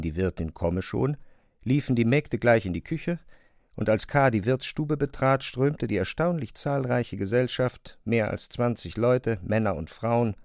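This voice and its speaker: A man's voice: mid-range voice, gravelly, darkish tone, no echo, studio quality. A man narrating a literary story from a script as the narrator of a radio drama.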